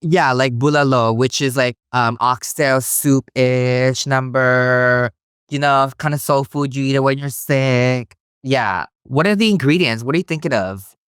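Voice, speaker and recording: A man's voice: mid-range voice, a little slow, a bit bright, smooth, no room sound, studio quality. A young man from the Philippines, raised in Hawaii, chatting as a guest in a bubbly, playful way. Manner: bubbly and playful, says like a lot. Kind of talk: casual chat.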